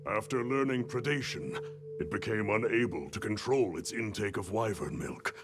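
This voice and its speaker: Slow, gravelly older guild commander. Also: male voice, on the low side. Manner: deliberate, formal, authoritative. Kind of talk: cinematic fantasy game acting. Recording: music behind the voice.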